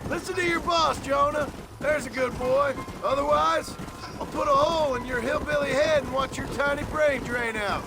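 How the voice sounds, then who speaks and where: High-pitched, terse western gunslinger. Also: male voice, normal speed. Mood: sardonic. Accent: American. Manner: terse, sardonic. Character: guarded, dry, threatening gunslinger, reluctant lawman's helper. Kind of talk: dramatic video game acting. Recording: noisy game audio, outdoor ambience.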